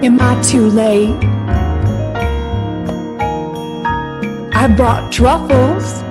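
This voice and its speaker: A woman playing an elegant, caring star singer with a husky voice, poised and teasing, in an audio drama. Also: fairly high pitch, slow, over loud music. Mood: playful, gracious.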